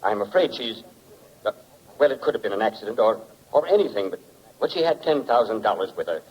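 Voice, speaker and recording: A man's voice: fairly low pitch, expressive, fairly quick, slightly rough. An actor playing an anxious, hesitant businessman through a telephone filter, in 1940s radio drama style. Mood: worried. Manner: hesitant, flustered. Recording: muffled lo-fi phone-call sound.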